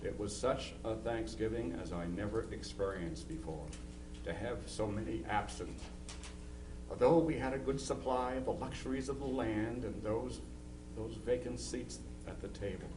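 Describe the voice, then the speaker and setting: A man's voice: fairly low pitch, lightly acted. A man playing a solemn older nineteenth-century father who misses his children, reading a letter aloud. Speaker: amateur reader in a historical society dramatization. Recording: roomy, distant mic, hum and noise.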